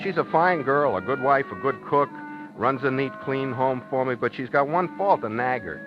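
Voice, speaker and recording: Henpecked working stiff played by a man, medium-pitched, plainspoken and wistful; dull old radio recording with music. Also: animated, moderate pace, lightly acted. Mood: wistful, musing. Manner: plainspoken, hesitant, pleading. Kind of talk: 1950s radio drama acting, first-person narration.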